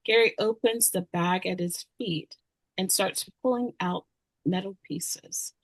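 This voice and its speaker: A woman's voice: fairly low pitch, slow, no echo, low-quality recording. A woman reading stage directions as scripted narration.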